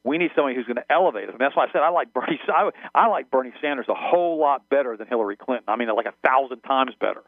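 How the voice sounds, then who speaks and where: A man speaking quickly over a tinny phone line, heard dry with no room around him. He rambles, stumbles and restarts, and German was his first language. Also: mid-range voice, dry recording. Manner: rambling, repeats words and restarts often. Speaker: guest. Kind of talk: phone-in radio interview.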